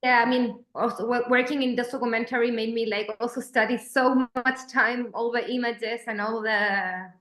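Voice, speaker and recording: A woman's voice: a little high, fairly even intonation, normal speed, hardly any pauses, a bit bright. Woman in an echoey room, searching for words. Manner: searching, restarts phrases, many "like" fillers. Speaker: documentary filmmaker and moderator. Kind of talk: casual panel discussion. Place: panel event room.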